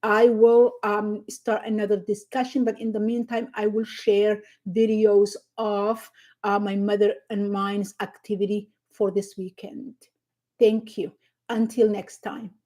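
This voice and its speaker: A middle-aged woman from southern Somalia with a Somali accent, hosting a casual livestream, unhurried and halting with many fillers as she thanks viewers by name. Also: medium pitch, flat delivery, mellow. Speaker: livestream host.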